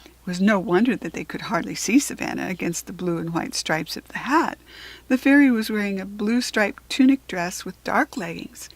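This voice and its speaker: American woman reading a children's story, slightly husky. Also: medium pitch, moderate pace, dry recording. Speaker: read-aloud children's book narrator. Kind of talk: scripted storybook narration for children.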